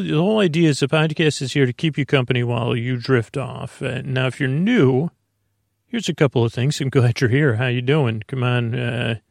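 A man hosting a sleep podcast, talking in a slow, meandering bedtime monologue with a creaky, dulcet voice and many self-interruptions. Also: mid-range voice, no room sound, studio quality.

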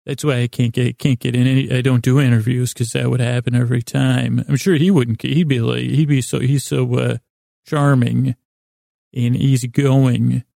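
A man hosting a sleep podcast in a rambling bedtime monologue, halting and stumbling over his words, repeating himself and losing his thread, with a gravelly voice. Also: medium pitch, flat delivery, warm tone, no echo, studio quality. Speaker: podcast host.

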